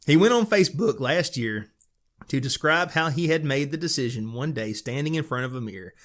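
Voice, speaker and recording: A man's voice: medium pitch, expressive, a little fast, fairly bright tone. A middle-aged man, co-host of a casual podcast, reading odd news stories aloud and chuckling. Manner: chuckling, amused storyteller.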